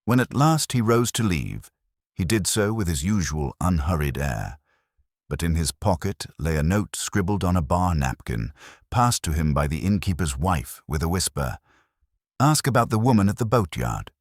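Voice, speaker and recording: Very deep-voiced man narrating a mystery. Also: expressive, no room sound, studio quality. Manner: measured, literary storytelling. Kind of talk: scripted radio mystery narration.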